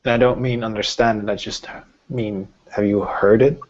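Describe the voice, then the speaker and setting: A man playing a grave, concerned female werewolf elder in a tabletop roleplaying game, speaking haltingly and earnestly as blunt counsel is given. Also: fairly low pitch, steady tone, darkish tone, highs cut off, lightly acted. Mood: sorrowful, pressing.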